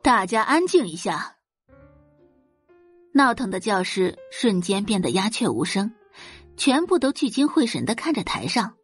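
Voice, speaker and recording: A woman narrating a dramatic audio novel in an expressive storytelling style. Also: medium pitch, moderate pace, breathy edge, soft background music.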